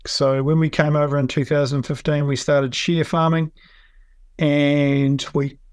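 A man's voice: a little high, level tone, a little slow, clear, resonant tone, no echo, studio quality. Easygoing man with a New Zealand accent. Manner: easygoing, lots of um and yeah. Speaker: dairy farmer. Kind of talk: relaxed interview answers.

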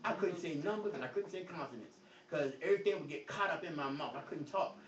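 Echoey distant preacher man, high voice. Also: fast talker. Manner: preachy, emphatic, rises and drops in volume; says he stutters. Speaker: pastor. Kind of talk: Bible study teaching. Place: church hall. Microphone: phone across the room. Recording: echoey, distant, lo-fi phone recording.

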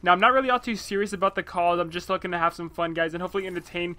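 A man's voice: sits high, steady tone, racing, sharp tone. A young man making casual prank calls, rambling and pleading in colloquial slang. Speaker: prank caller and video host. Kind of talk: casual prank phone chat.

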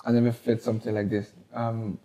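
A young man with a French accent, a footballer answering interview questions in halting, simple English with easy laughs, on a lapel mic in a small wood-panelled room. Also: on the low side, little pitch movement, warm tone. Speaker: footballer guest. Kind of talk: casual interview.

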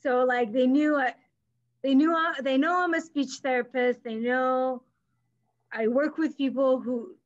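A young woman speaks as a guest over a video call, chatty, with light stuttering and restarts. Her voice sits a little high. The webcam audio is dull and lo-fi. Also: fairly even intonation, unhurried. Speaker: guest, speech therapist. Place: small room indoors. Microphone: webcam mic on video call.

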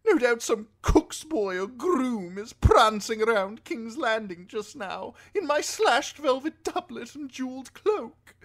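An old aristocrat from a fantasy audiobook, pitched far up and shrill. He is pompous and theatrical, a pampered lord given to frantic outrage, with wildly swooping intonation. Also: sing-song, a little slow, hardly any pauses, a bit bright, exaggerated voice. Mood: haughty, prattling. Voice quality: shrill, screechy when upset.

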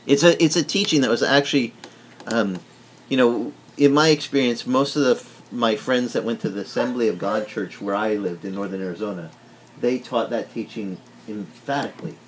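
A middle-aged man whose first language is Italian, preaching an animated teaching sermon indoors and asking the room questions. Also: mid-range voice, moderate pace, a little hiss or hum, rough recording. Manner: animated, gesturing broadly, asks the room questions. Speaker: pastor. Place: wood-paneled indoor room.

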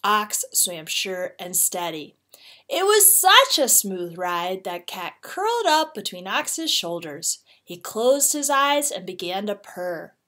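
A woman narrating a children's storybook expressively, with deliberate, dramatic phrasing. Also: mid-range voice, big pitch swings, bright, forceful tone. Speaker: read-aloud teacher.

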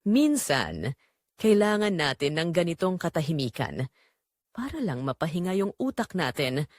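A woman voicing a kind young male veterinarian, a soft-spoken and earnest suitor in a romance story. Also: low-pitched, very animated, normal speed, dry recording, clean studio sound. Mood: calm. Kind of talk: audio romance story dialogue.

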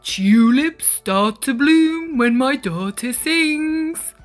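A woman's voice: high-pitched, lively intonation, drawn-out words, soft background music, exaggerated voice. A woman voicing a foolish male miller who brags about his daughter in a storybook reading. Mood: boastful. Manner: bragging.

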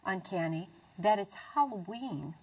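A middle-aged American woman presenting a religious talk show in an earnest, deliberate way. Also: medium pitch, lively intonation, slightly rough, distant mic. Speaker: TV show host. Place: small dark TV studio, indoors.

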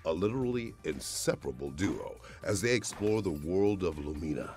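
Male co-host, deep and creaky, animated dramatic trailer narration over music. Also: moderate pace. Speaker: co-presenter of a games showcase. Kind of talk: scripted showcase narration.

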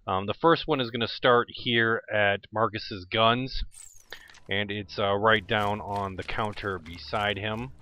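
A man's voice: lowish voice, fairly even intonation, measured pace, bright, forceful tone, slight background noise. A man giving casual walkthrough commentary for a video game. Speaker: game guide video maker.

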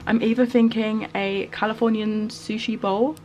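A woman's voice: on the high side, fairly even intonation, moderate pace, a little mellow, slight background noise. A young woman chatting casually to camera at home, bubbly and gushing, with lots of "like". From England. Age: young adult. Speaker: lifestyle vlogger. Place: small home bedroom and kitchen.

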